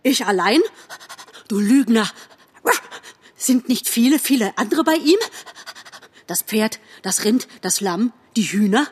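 A woman's voice: a little high, expressive, slow pace, pauses often, a little hiss or hum, voice pushed up. A woman voicing a fierce male dog in an audiobook story, howling with rage and fanatical devotion to his master. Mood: fervent, defiant. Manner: howling, raging, fervent, exalted.

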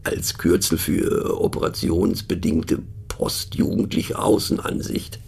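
An actor playing a middle-aged, pensive and mocking narrator in a radio play monologue, talking haltingly and wryly as if to a listener. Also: male voice, low-pitched, darkish tone, full character voice. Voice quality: husky, gravelly. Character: musing, mocking male narrator pondering abstract words.

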